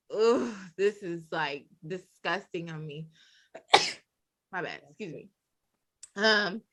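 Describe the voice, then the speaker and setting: A woman in her thirties co-hosting a podcast, telling stories in an expressive, animated way. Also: medium pitch. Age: 30s. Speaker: podcast co-host. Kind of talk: casual podcast chat.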